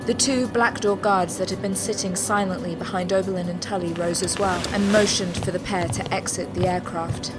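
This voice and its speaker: A woman narrating an audio drama from a script in a measured, descriptive manner. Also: lowish voice, fairly even intonation, loud music behind the voice, natural voice.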